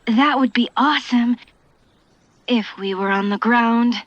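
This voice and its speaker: A woman's voice: fairly high pitch, unhurried, dry recording, lightly acted. A woman playing a good-natured, teasing young woman in a fantasy audio drama. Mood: playful. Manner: teasing, conversational. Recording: dull, dry close recording.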